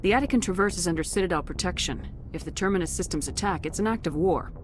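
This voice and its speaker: An actress playing a tough, direct military commander in a video game, curt and businesslike. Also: female voice, lowish voice, fast talker, music in the background, lightly acted. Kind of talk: video game dialogue acting.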